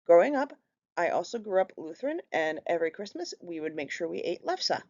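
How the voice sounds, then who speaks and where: Low-voiced Minnesotan woman reading a presentation. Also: sing-song, brisk, a little mellow. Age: 30s. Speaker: student presenter. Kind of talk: read class presentation. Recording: slightly muffled lo-fi recording.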